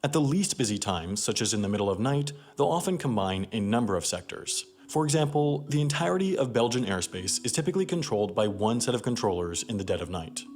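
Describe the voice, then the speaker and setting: A man's voice: medium pitch, big pitch swings, a little fast, few pauses, a little mellow. A man narrating a scripted explainer documentary as its host, explaining things with emphatic phrasing. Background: background music.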